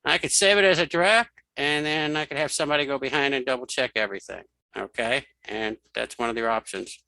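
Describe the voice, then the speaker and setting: Male tutorial host, mid-pitched and crisp-edged, casual step-by-step self-narration, close dry sound. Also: a little fast, bright, forceful tone, no room sound, rough recording. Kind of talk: casual spoken software tutorial.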